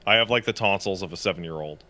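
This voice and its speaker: A man co-hosting a podcast in casual chat, sniffly, telling stories in an animated way with lots of swearing. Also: low-pitched, fast. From Canada. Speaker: podcast co-host.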